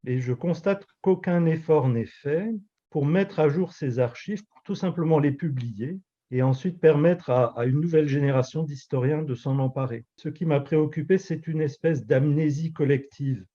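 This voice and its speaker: A man in his fifties from Alsace whose first language is Alsatian, a pastor and author answering interview questions in a measured, reflective way with frequent hesitations. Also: a little high, warm tone, muffled recording. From Alsace, France. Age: 50s.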